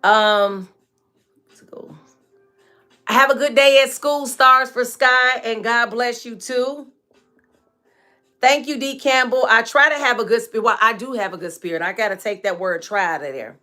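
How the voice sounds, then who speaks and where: A woman in her forties hosting a live hair care stream, chatting frankly with viewers and laughing often, her voice a bit hoarse and dry. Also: on the high side, a little slow, pauses often, bright, forceful tone, soft background music. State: hoarse and dry voice from staying up late. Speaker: natural hair care host and product maker. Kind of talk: live-stream chat answering viewer comments.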